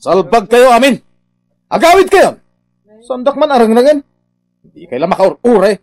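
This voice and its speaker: Evasive irritable boss, radio drama, high male pitch, very animated swings, blustering and defensive. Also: moderate pace, dry recording, faint music behind. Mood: irritated.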